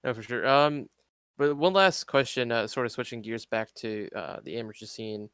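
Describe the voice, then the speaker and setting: A man co-hosting a gaming podcast, asking relaxed questions with small pauses. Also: mid-range voice, clear, resonant tone, no room sound, studio quality. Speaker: podcast co-host. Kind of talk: casual podcast interview.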